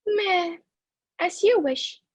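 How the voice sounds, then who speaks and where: A woman's voice: very high-pitched, very slow, full character voice. A woman playing a giddy, blissfully cruel young woman in love, taunting and theatrical. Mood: mocking. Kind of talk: theatrical reader's-theatre acting.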